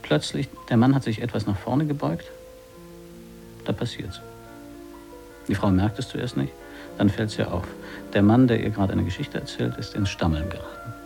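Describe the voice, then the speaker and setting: A earnest radio writer with a very gravelly, lowish, mellow voice. He pitches scenes insistently in short telegraphic phrases, as in an intimate radio play. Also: faint music behind. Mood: absorbed, narrating. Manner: intense, halting, telegraphic phrasing. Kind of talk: radio play acting, clipped storytelling.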